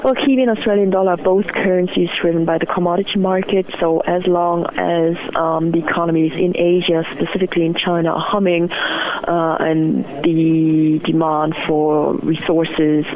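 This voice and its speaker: A woman's voice: lowish voice, flat delivery, normal speed, a crowd in the background. A woman giving methodical, explanatory market analysis as an interview guest over the phone. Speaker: currency strategist, interview guest. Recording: muffled narrow phone line.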